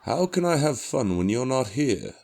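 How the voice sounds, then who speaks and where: A man playing an older widowed father in an audio horror drama, tipsy, gravelly, dismissive yet affectionate. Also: medium pitch, sing-song, moderate pace, a little mellow. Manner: dulled with liquor, dismissive, soothing.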